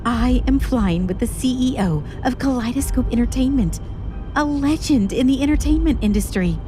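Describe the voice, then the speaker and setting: A woman's voice: high voice, expressive, moderate pace. A woman playing an awestruck young talent manager in an audio drama, full of breathless disbelief. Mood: stunned, awed. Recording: music under the voice.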